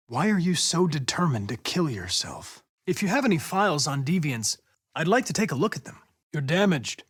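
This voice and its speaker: A man's voice: a little high, husky, no room sound, clean studio sound. A man voicing a young android police investigator in a video game, with an American accent and a precise, formal, mission-driven manner. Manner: precise, clipped, formal, measured, insistent when pressed. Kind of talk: video game voice acting, isolated dramatic lines.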